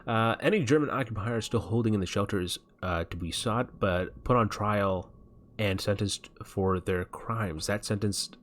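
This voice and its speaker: A man's voice: fairly low pitch, measured pace, a bit bright. A man streaming a game, chatting casually and joking as he reads game text aloud. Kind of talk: casual gameplay chat. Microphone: desk mic.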